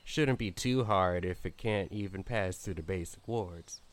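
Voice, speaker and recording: A man acting as a small-town daytime radio host who hunts monsters in an audio drama. His voice sits fairly low, and he talks in a folksy, no-nonsense, matter-of-fact way. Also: unhurried.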